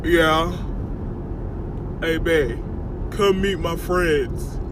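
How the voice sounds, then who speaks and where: A woman voicing a laid-back young man, a proud boyfriend with a drawn-out, swaggering delivery in a vlog story. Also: low voice, pitched below the performer's own voice. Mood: casual, inviting. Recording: noisy car interior, traffic hum.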